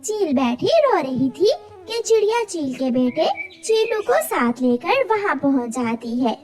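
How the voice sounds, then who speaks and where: A woman's voice: high voice, sing-song, unhurried, crowd noise. A woman narrating a scripted children's cartoon story.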